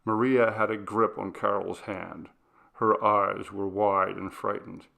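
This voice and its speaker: A man reads a ghost story as scripted narration. His pitch is slightly low, his delivery is unhurried, and there is a marked fry in the voice. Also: fairly even intonation, fairly bright tone. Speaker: audiobook narrator.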